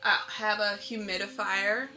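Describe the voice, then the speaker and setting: A woman's voice: mid-range voice, little pitch movement, unhurried, crowd noise. A woman speaking informally and reflectively in a casual interview as a visual artist, often saying "like". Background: instrumental lo-fi music.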